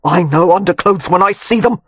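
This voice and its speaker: Reader playing jealous brute, growled and harsh, breathy. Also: male voice, high-pitched, normal speed, dry recording. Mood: furious. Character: brooding, jealous, violent young man.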